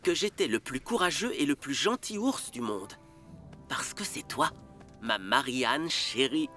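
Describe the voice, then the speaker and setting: An actor playing a brave, kind father bear in an animated film, gentle, reassuring and expressive. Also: medium pitch, unhurried, faint music behind. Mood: tender. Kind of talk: dubbed animated film acting.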